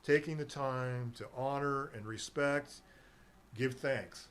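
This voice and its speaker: A man's voice: mid-range voice, slow, a bit bright, clean tone. A middle-aged man chatting casually as a guest on a local talk show, rambling and meandering, laughing easily. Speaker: guest, herbal nutrition seller.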